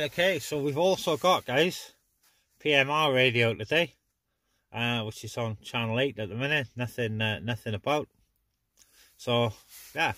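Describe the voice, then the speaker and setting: A man's voice: medium pitch, pushed and bright. A man chatting casually as he runs a CB radio net from inside a car. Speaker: CB radio operator hosting a net.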